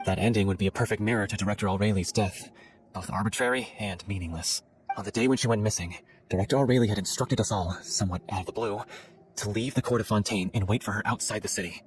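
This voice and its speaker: An actor playing an excitable, earnest theatre scriptwriter in a video game, wordy and given to telling stories. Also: male voice, on the low side, quick pace, slight background noise, lightly acted. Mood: grieving, bitter.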